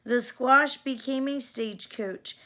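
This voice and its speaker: A woman reading a children's story aloud as an expressive narrator. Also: high voice, slow pace. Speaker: storybook reader.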